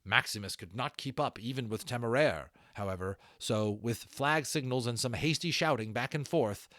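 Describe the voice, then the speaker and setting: A man reading a novel aloud as an audiobook narrator, in an expressive storytelling style. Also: mid-range voice, normal speed, no echo, clean studio sound. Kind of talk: expressive storybook narration with brief asides to listeners.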